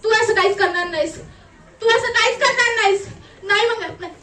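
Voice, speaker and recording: A woman's voice: very high voice, fairly quick, sharp tone. A woman playing an anguished, defiant young acid attack survivor in a melodramatic, tearful theatrical monologue, repeating her phrases. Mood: frightened, pleading. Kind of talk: theatrical one-act stage monologue. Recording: echoey room, distant mic, noisy.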